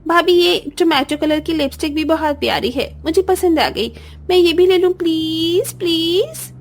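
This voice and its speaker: A woman's voice: very high-pitched, normal speed. A woman playing a greedy, spoiled young sister-in-law in a cartoon, wheedling with sugary, theatrical pleading. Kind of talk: Hindi cartoon family-drama acting.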